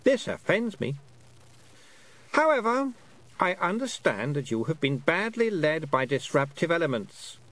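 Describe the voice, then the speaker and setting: A man's voice: a little high, big pitch swings, a little slow, fairly bright tone, voice pushed up, poor audio. A man voicing a cold, haughty fairy Queen in a storybook reading, condescending and mock-polite, drawing out the words. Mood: icy, affronted. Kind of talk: audiobook character voice.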